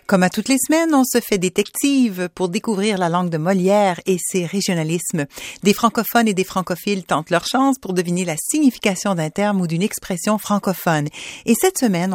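A woman hosting a radio show, presenting in an expressive, outgoing manner. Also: medium pitch, moderate pace, no echo. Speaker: radio host.

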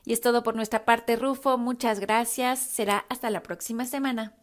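A woman's voice: fairly high pitch, steady tone, moderate pace. Woman reading news reports. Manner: clear, friendly newsreader. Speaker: radio correspondent.

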